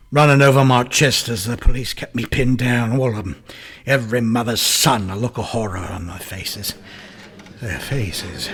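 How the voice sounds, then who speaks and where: An actor playing a guilt-ridden, drug-addled London murderer giving a halting, theatrical confession in a radio drama. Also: male voice, medium pitch, a little hiss or hum, lightly acted. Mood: horrified, bitter.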